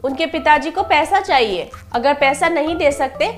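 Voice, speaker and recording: High-voiced gentle cartoon mother acted by a woman. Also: moderate pace, soft background music, close to an everyday voice. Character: gentle mother or teacher, kind and encouraging. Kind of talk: cartoon story dialogue.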